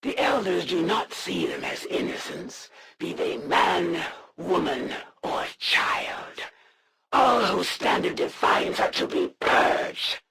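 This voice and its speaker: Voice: mid-range voice, animated, slow pace, gravelly, sharp tone, husky, heavily acted. An actor voicing a proud, honor-bound alien assassin, a villain in a strategy video game, speaking in a formal, grandiose and solemn way with menace. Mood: stern, menacing. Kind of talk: dramatic scripted game voice acting.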